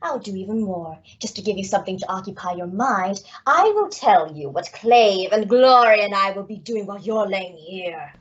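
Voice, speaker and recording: A woman's voice: mid-range voice, lively intonation, normal speed, few pauses, dull audio, natural voice. A woman playing a menacing, mocking villainess in old-time radio comedy, with a taunting, sneering delivery. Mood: gloating. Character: menacing, mocking villainess with a gun, coolly spiteful.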